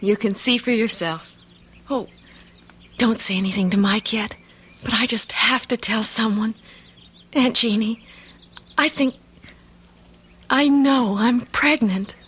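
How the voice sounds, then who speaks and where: An actress playing a tender, emotional young newlywed wife in a radio drama, speaking earnestly. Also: female voice, on the high side, slow pace, pauses often, fairly bright tone, slightly rough, heavily acted. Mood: confiding, excited. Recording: old radio broadcast with music.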